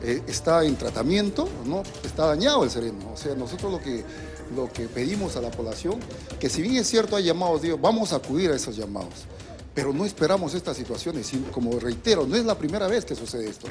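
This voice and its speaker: A man's voice: a little high, big pitch swings, fast talker. A middle-aged Peruvian man, a municipal security manager, answering earnestly in a live outdoor TV interview with fillers and restarts. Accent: Peruvian Spanish. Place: outdoors on a city street. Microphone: handheld reporter mic. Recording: music bed underneath.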